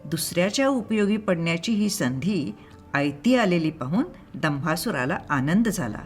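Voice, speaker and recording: A woman narrating a scripted moral story in a measured, expressive storytelling manner. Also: lowish voice, faint music behind. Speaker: storyteller and channel host.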